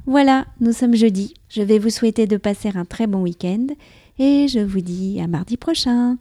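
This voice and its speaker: French woman with a mellow voice, telling a podcast story. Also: medium pitch, normal speed, no room sound, studio quality. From France. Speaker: podcast host. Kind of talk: scripted personal narration. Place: near Paris.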